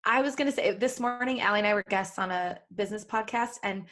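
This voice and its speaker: A woman's voice: mid-range voice, quick pace, fairly bright tone. A woman co-hosting a podcast in casual, chatty talk, with an informal way of speaking that leans on "like". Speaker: podcast co-host. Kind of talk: casual chat on a live podcast.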